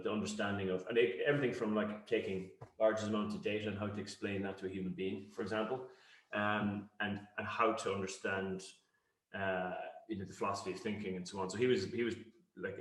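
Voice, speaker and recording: Low-voiced Cork man, echoey room. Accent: Cork Irish. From Cork, Ireland. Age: middle-aged. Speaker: interview guest, company founder. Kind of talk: conversational interview answers. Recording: roomy, echoey sound.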